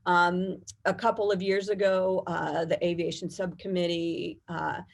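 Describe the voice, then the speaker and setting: A middle-aged American woman speaks in a fairly low voice with a gravelly creak. She answers as an interview guest, explaining things in a measured, conversational and detailed way. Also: flat delivery. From United States. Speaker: guest, air traffic controllers' union executive.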